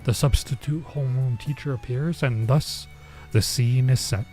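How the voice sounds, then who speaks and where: A man's voice: medium pitch, normal speed, darkish tone. A man streaming a visual novel, reading the story aloud and adding informal, bemused asides. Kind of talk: let's play, reading game text aloud with casual reactions. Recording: music under the voice. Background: game music.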